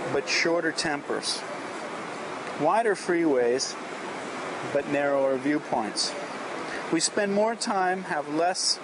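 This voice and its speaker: Guy with a slightly high voice doing a thoughtful earnest vlog talk outside, lots of background noise. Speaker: vlogger. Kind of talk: reflective talk and reading aloud of an inspirational message. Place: outdoors at a beach. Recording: noisy outdoor recording with traffic-like ambience. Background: clear outdoor ambient noise.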